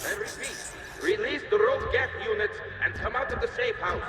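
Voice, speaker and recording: Echoing high-pitched commanding alien man, played by an actor. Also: a little fast, lightly acted. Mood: stern warning. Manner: forceful, clipped orders. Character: urgent, commanding male masked alien in old recordings. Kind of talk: video game character acting. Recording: echoey, distant archived data playback.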